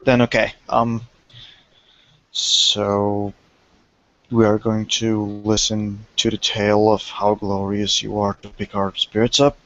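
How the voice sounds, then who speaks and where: A man's voice: lowish voice, little pitch movement, slow pace, fairly bright tone, dry recording, highs cut off. A man speaking hesitantly during a tabletop roleplay session.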